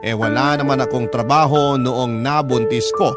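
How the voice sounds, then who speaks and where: A man's voice: mid-range voice, steady tone, normal speed, lightly acted. A man narrating a letter as a young father, in a plain, confessional storytelling way. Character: young father recounting his past in a letter. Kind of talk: letter narration for a radio drama. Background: loud music.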